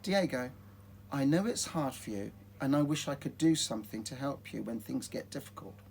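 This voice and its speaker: Man as a gentle, soothing mother dragon. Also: medium pitch, expressive, normal speed, vocal fry, slight background noise. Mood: reassuring, patient. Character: gentle, caring mother dragon, patient and reassuring.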